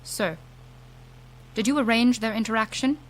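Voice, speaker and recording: Formal, deadpan female agent played by a woman. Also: a little high, normal speed, natural voice. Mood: puzzled, clinical. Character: literal-minded, dutiful female agent from an unearthly agency. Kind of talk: audio drama dialogue.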